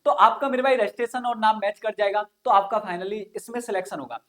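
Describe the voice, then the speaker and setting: A man's voice: high-pitched, fast talker, bright, forceful tone. A man teaching an informal online exam-coaching lesson, explaining things repetitively and often checking that listeners follow. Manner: repetitive, frequent check-in questions.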